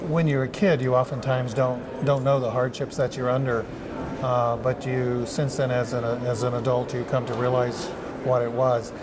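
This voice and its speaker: A man's voice: medium pitch, flat delivery, normal speed, noisy background. A man hosting a television interview, asking friendly, conversational questions. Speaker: talk show host.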